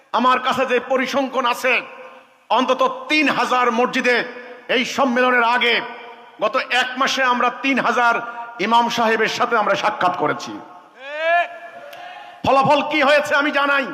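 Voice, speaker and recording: A man's voice: very high voice, bright, forceful tone. A man with a Bangladeshi accent giving an impassioned, emphatic public speech as a religious leader, repeating phrases and posing rhetorical questions. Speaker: religious movement leader addressing a conference. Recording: echoing PA sound with long reverb.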